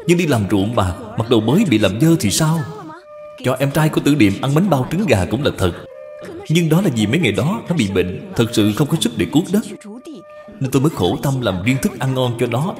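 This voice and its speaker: An aggrieved, scheming stepmother of old China, read by a man for a cartoon. She complains and justifies herself in varied pitch, with long reverb and soft music behind. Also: very animated, a little fast, lightly acted. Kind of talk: cartoon dubbing.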